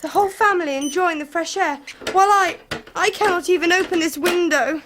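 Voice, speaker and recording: An English actress playing a spirited, well-spoken young Victorian girl in an expressive radio drama performance. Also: very high-pitched, fairly quick, background noise. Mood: wistful. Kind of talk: 1990s radio drama acting.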